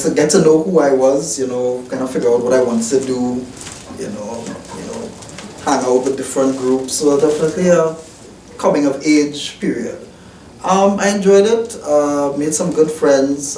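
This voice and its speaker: A man's voice: medium pitch, dark tone. A young man, a newspaper reporter, giving hesitant, reflective interview answers full of restarts and "you know" fillers in an office. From Trinidad and Tobago. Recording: roomy, distant mic, faint noise.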